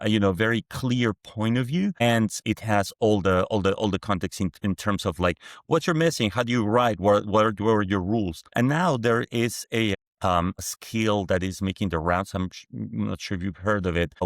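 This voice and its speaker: Gravelly low-voiced man with a Mexican accent. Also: dry recording, clean studio sound. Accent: Mexican Spanish. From Mexico City. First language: Spanish. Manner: halting, many restarts and fillers. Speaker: guest founder. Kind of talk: conversational interview.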